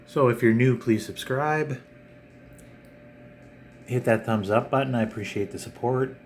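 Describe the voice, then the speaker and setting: A man hosting a casual, unscripted unboxing vlog, talking in a halting way with frequent pauses. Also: medium pitch. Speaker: video channel host. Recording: clear background noise.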